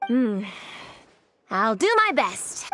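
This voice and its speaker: A woman's voice: on the high side, very animated, extremely slow, fairly bright tone, heavily acted. A woman voicing a shy, wistful young girl in a video game, speaking hesitantly. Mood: downcast.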